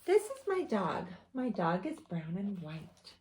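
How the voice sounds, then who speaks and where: A young woman teaching preschool, reading a picture book aloud to children in a lively storytime voice. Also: unhurried. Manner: animated storytime voice with big pitch swings.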